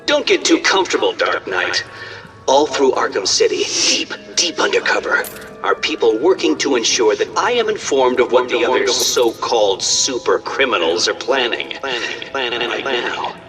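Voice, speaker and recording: Smug riddling game villain, bright forceful tone, wide swooping pitch, pompous, condescending. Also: male voice, big pitch swings, moderate pace, soft background music. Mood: smug, taunting.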